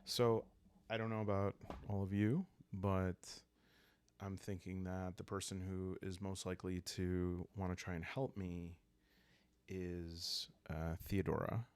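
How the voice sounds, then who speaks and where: A man calmly narrating a solo roleplaying game in an ASMR style, soft and halting as he thinks aloud. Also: deep voice, clear, resonant tone. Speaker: host and solo player of a journaling roleplaying game.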